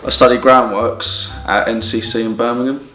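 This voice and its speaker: A man's voice: medium pitch, steady tone, moderate pace, fairly bright tone. A man in his twenties with an English accent, an apprentice describing his training in an easygoing, rambling way. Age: 20s. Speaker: groundworks apprentice. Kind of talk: casual interview answers. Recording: distant, roomy, with music.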